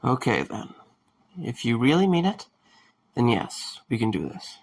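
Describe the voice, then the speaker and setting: A man playing a stubborn, wary but caring young man in a fan audio drama, with a husky, gravelly voice. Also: medium pitch, big pitch swings, a little slow, dry recording, heavily acted. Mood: wary, gentle.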